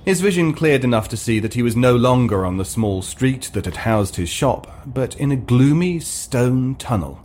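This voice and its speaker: Male narrator for comic fantasy fiction, expressive melodic range, dramatic scripted read over faint ambient sound. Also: mid-range voice, normal speed, few pauses, a little hiss or hum. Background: ambient sound effects.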